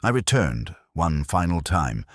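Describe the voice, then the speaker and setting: A man playing a melancholy, reflective police inspector, narrating an audio drama in slow, measured, solemn literary prose with deliberate pauses. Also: deep voice, very animated, no room sound, clean studio sound, exaggerated voice. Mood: wistful. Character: melancholy, reflective male police detective inspector telling his story.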